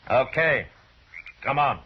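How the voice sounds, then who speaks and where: A man's voice: mid-range voice, lively intonation, takes it slowly, breathy edge, no echo. An actor playing a stern, hard-bitten US marshal, terse and grim, in an old radio western that he also narrates. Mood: stern, commanding. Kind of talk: old-time radio western acting and first-person narration. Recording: muffled vintage radio recording.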